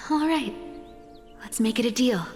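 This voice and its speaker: A woman voicing a guarded young female thief and habitual liar in a video game, with a slow, teasing, self-deprecating way of talking. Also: fairly high pitch, darkish tone, natural voice. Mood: wry, resigned. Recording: long hall reverb over music.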